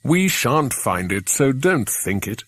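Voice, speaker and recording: A man reading the part of a calm, protective grown man in a children's adventure audiobook, firm and reassuring. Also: medium pitch, slow, dry recording, exaggerated voice. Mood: doubtful, practical.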